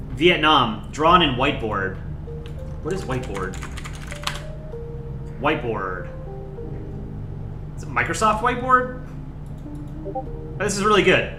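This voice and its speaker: A young man hosting a casual livestream, chatting in a relaxed, rambling way and thanking viewers by name. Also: mid-range voice, takes it slowly, long pauses, roomy sound, music in the background. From United States. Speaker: livestream host. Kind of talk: casual chat.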